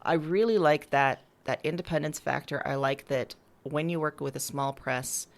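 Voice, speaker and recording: A woman's voice: sits low, moderate pace. A woman chatting casually as an interview guest, talking about her creative work in a candid, enthusiastic way. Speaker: writer and musician being interviewed.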